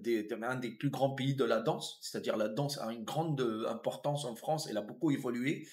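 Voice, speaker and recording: A man's voice: medium pitch, a little fast, a little mellow. Man, 50s, Tunisian accent, Arabic native speaker, reflective, hesitant restarts, casual interview. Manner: reflective, repeats and restarts words, many fillers. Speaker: guest, comedian and actor.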